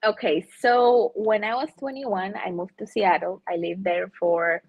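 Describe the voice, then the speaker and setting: A woman's voice: mid-range voice, fairly even intonation, measured pace, breathy edge. A woman from Russia who has also lived in Colombia, chatting loosely and enthusiastically as an interview guest on dull, lo-fi audio. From born in Russia, lived in Colombia. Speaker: NFT artist, interview guest. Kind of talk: casual chat.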